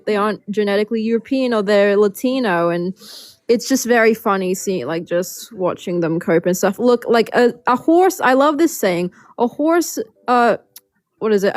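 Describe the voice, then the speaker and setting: A woman's voice: mid-range voice, no echo, studio quality. A woman chatting loosely on a live stream, laughing often and swearing freely. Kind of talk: casual group chat.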